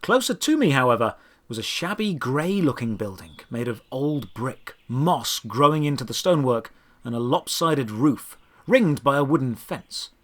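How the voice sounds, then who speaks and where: Man narrating fantasy adventure with very animated pitch. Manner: storytelling, deliberate dramatic phrasing. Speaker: narrator of a fantasy audio drama. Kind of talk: first-person scripted adventure narration.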